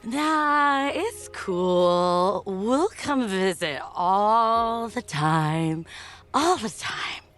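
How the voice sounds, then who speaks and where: Woman playing a slow, tipsy, silly young friend. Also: medium pitch, animated, fairly bright tone, faint music behind. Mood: drunkenly devoted. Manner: slow, rambling. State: drunk. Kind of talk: animated motion-comic voice acting.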